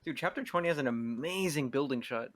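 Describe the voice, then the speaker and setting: A man's voice: mid-range voice, animated, fairly quick. A man talking casually in a podcast group, informal and laid-back.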